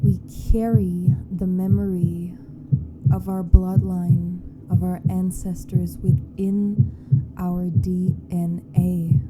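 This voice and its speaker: Very slow, dark-toned woman guiding meditation. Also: level tone, poor audio. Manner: hushed, deliberate, with long pauses. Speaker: guided meditation host. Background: meditation music with a low hum.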